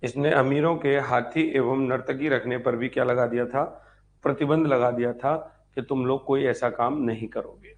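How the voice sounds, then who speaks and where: A man's voice: medium pitch, fairly even intonation, moderate pace. A man teaching an exam-prep history lecture, explaining step by step, repeating phrases as if dictating and asking check questions.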